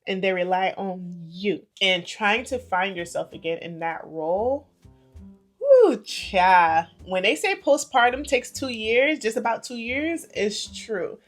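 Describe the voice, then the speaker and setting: A woman in her thirties chatting casually to camera as a vlogger, playful and confiding, her loudness and pace rising and falling a lot. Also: medium pitch, animated, slow pace, soft background music. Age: 30s.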